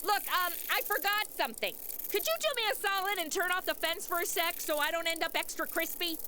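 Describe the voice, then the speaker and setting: A woman's voice: very high voice, fairly quick, bright, forceful tone, a little hiss or hum. A woman playing a cheeky, scheming modern young influencer with breezy slang in a radio comedy. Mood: sheepish.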